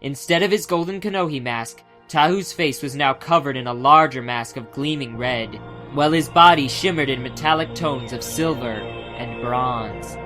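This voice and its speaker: A man's voice: mid-range voice, moderate pace, bright, forceful tone. A man giving a scripted, dramatic narration of a fantasy audiobook. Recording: music behind the voice.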